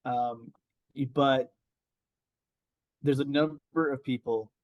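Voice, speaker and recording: Measured, reasonable podcast co-host. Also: male voice, lowish voice, no echo, rough recording. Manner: measured, reasoning, even-handed. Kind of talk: casual wrestling podcast chat.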